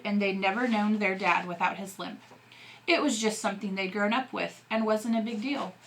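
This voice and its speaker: A woman narrates a novel as a teacher reading aloud. Her voice sits at a medium pitch with a bright, forceful tone, and her storytelling is expressive. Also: poor audio.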